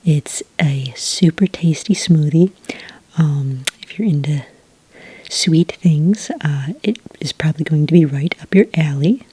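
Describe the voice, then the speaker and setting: A woman playing a friendly, helpful witch who serves at a magical cafe, speaking in a soft, breathy whisper in a patient ASMR roleplay style. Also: low voice, unhurried, dry recording, full character voice. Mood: patient, gentle. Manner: patient, gentle, explanatory. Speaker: cafe server taking orders.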